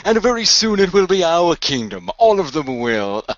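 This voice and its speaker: A man's voice: sits high, big pitch swings, moderate pace, no room sound, highs cut off, pitched above the performer's own voice. Man putting on a haughty, gloating evil sorcerer. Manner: theatrical, haughty, taunting. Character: gloating evil sorcerer villain with a snake staff.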